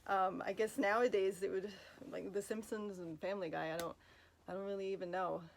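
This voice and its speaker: A woman's voice: medium pitch, steady tone, fairly quick, creaky, a little hiss or hum, rough recording. Chatty Brooklyn woman vlogging from bed. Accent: Brooklyn New York. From Brooklyn, New York. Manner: chatty, rambling, self-deprecating, lots of fillers and asides. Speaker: vlogger answering fan questions. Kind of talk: casual Q&A vlog from bed. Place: in her bed at home. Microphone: phone recording.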